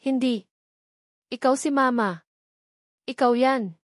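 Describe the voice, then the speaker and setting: A woman's voice: high voice, slow pace, no room sound, pitched above the performer's own voice. Storybook child: tearful, pleading small boy, very dry sound , performed by a woman. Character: clingy, tearful little boy longing for mother.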